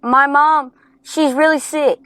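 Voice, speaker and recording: A woman's voice: high voice, steady tone, takes it slowly, fairly bright tone. A woman playing a frightened young girl, hesitant and pleading, in an audio drama.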